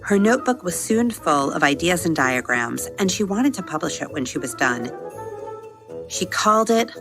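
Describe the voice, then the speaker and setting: A woman narrates a children's storybook tale in a low register with plenty of vocal fry. Her delivery is measured and expressive, like scripted podcast storytelling. Music plays behind her voice.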